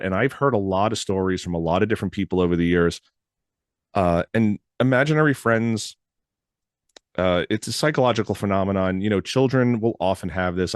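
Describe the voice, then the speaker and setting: Hoarse, deep-voiced male podcast host. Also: dry recording, clean studio sound. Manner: rambling, loose, with frequent "uh" fillers. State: hoarse, froggy from recent illness. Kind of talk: casual solo podcast monologue.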